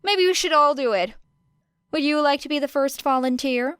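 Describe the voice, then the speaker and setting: A woman's voice: high-pitched, normal speed, fairly bright tone, no echo, voice pushed up. A woman voicing a guarded teenage girl who trades sarcastic jabs. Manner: sarcastic, stiff. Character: guarded snarky teenage girl hero student. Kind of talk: audiobook character reading.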